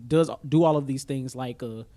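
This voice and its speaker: A man's voice: mid-range voice, moderate pace, mellow. A man co-hosting a podcast in casual, slangy chat, with an easygoing, agreeable way of talking. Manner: slangy, informal, easily agreeable. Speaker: podcast co-host. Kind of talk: casual chat about music, films and sports.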